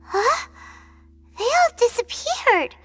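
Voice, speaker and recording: A woman's voice: very high-pitched, very animated, slow, faint music behind, exaggerated voice. A woman voicing a tiny, cheeky, curious fairy companion in a video game, with childlike, exclamatory delivery. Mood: puzzled. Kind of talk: video game character dialogue.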